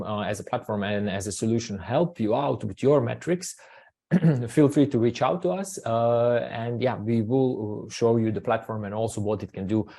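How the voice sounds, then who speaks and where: A man from Croatia hosting a business webinar from the marketing side, speaking haltingly with many fillers and restarts. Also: lowish voice, warm tone.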